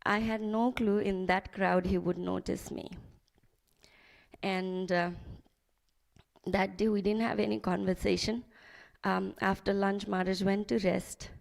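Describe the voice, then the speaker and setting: A woman from Nepal tells a personal remembrance at a temple gathering, her voice carrying a gravelly edge. She speaks in a heartfelt, halting way, often restarting her sentences. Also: medium pitch, level tone. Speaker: devotee giving a remembrance. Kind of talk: personal reminiscence at a memorial gathering. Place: temple hall.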